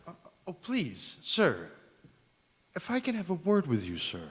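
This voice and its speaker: A man's voice: on the high side, huge pitch swings, a little slow, breathy edge, heavily acted. A man playing a blunt, brash, stiffly formal young suitor in an old-time radio drama, on an echoey stage. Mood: nervous politeness. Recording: echoey church hall stage.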